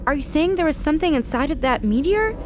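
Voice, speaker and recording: A woman's voice: sits high, lively intonation, fast talker, heavy background noise. A woman playing an anxious radio host in an audio drama, questioning and urging on her reporter with insistence. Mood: worried, bewildered. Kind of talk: modern radio drama acting.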